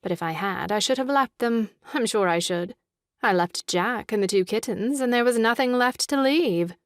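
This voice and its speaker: A woman's voice: fairly high pitch, moderate pace, no echo, natural voice. Woman playing a prim, opinionated young lady, dry sound. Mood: prim rivalry. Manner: prim, earnest, slightly superior. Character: brisk, opinionated young Englishwoman, sister of new father. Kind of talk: theatrical audio drama farce acting.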